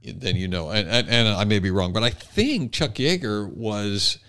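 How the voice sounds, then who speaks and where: A man's voice: fairly low pitch, animated, measured pace, bright, forceful tone, clear, resonant tone. Tentative man chatting as podcast co-host. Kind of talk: casual conversational storytelling.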